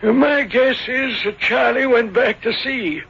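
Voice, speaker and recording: High-voiced, flustered small-town innkeeper. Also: male voice, slow pace, gravelly, slight background noise, exaggerated voice. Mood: defensive, recounting. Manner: stammering, folksy, agitated. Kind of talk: 1970s radio drama acting. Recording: dull lo-fi old radio broadcast.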